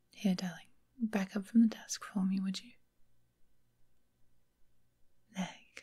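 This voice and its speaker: A woman voicing a refined, affectionate vampire mistress in a soft, breathy ASMR roleplay whisper, gentle and soothing. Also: mid-range voice. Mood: reassuring, coaxing. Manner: gentle, unhurried, genteel, affectionate endearments. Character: caring, refined female vampire mistress, long-lived doting lover.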